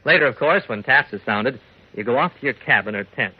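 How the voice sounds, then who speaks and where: A man reading old-fashioned scripted narration in a measured storytelling manner. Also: mid-range voice, expressive, slightly rough. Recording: dull lo-fi old radio recording.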